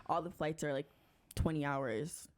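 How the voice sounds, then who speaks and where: A woman who is a podcast guest, chatting in a relaxed, agreeable way full of fillers. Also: deep voice, unhurried. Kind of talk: casual chat.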